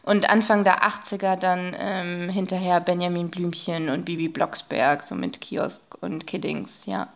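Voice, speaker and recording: A woman's voice: lowish voice, steady tone, a little slow, creaky, few pauses, lo-fi sound. A woman with a German accent, talking casually as an interview guest and explaining her research.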